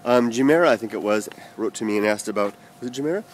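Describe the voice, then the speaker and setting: A man from the United States rambling through viewer comments in a casual vlog, halting with many fillers and restarts. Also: lowish voice, a little hiss or hum. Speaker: vlogger answering viewer comments.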